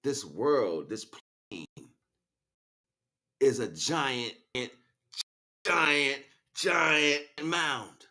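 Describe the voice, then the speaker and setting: A man delivering an impassioned, improvised spiritual monologue, preachy and grandiose, swinging between hushed asides and loud, emphatic declarations. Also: mid-range voice, animated, very slow, pauses often. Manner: preachy, grandiose, repeats phrases for weight. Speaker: spiritual speaker, streamer.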